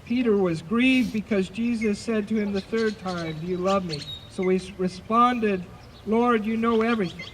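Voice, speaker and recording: A man narrating scripture for a live outdoor dramatization, projecting deliberately and repeating phrases. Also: high voice, low-quality recording. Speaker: narrator of an Easter service dramatization. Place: outdoors by a lake. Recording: faint outdoor noise, birdsong. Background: birds and outdoor ambience.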